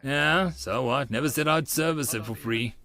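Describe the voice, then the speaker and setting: A man voicing a gruff, brusque middle-aged workshop boss with curt, folksy, slangy speech for an audiobook. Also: mid-range voice, moderate pace, sharp tone.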